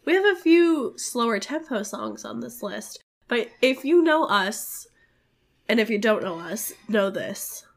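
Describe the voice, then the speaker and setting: A woman's voice: on the high side, wildly swooping pitch, measured pace. A woman co-hosting a podcast in casual chat, her voice nasal and congested from a cold, frank and playful. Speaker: podcast co-host. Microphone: home recording mic.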